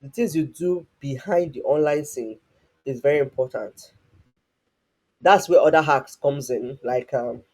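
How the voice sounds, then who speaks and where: A man with a husky voice, teaching a live online class in a rambling way, full of restarts and fillers. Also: fairly high pitch, darkish tone. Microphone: online call.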